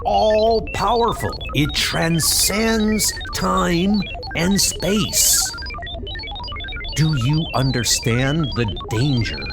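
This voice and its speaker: A man's voice: on the high side, animated, drawn-out words, gravelly, over music, voice pushed up, heavily acted. A man voicing a portentous, urgent cosmic god who declaims warnings in a comic book dub. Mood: grave urgency.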